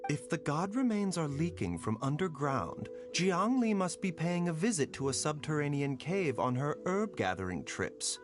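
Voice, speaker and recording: A man's voice: fairly high pitch, big pitch swings, normal speed, hardly any pauses, music in the background. A man voicing a refined, composed young doctor with a courteous, reassuring manner in an anime game. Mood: thoughtful.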